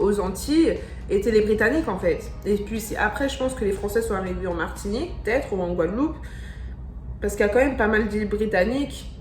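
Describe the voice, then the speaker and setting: Young woman, excitable slangy vlogger, echoey room, faint music underneath. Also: mid-range voice. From France. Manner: excitable, repeats exclamations, slangy. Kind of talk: casual vlog reaction.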